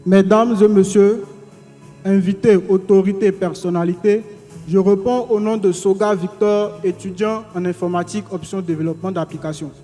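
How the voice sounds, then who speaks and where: A man's voice: sits high, steady tone, moderate pace, dark tone. A young man from Côte d'Ivoire, declaiming a formal welcome as a student. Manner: emphatic, declamatory. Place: hotel ceremony hall. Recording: echoey hall with music behind.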